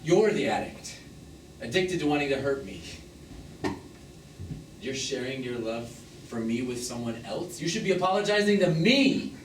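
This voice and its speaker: A man's voice: medium pitch, animated, normal speed, long pauses, natural voice. A man acting a defensive, jealous lover in stage drama dialogue, terse, blunt and emotionally volatile. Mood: angry accusation. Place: theatre stage, recorded from the audience distance. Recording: echoey, distant, noisy live theatre recording.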